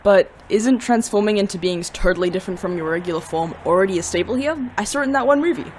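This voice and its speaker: An actor playing a grumpy, sarcastic young demon, grumbling and deadpan in a fiction podcast. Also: medium pitch, fast, a little hiss or hum, natural voice. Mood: naive, dry.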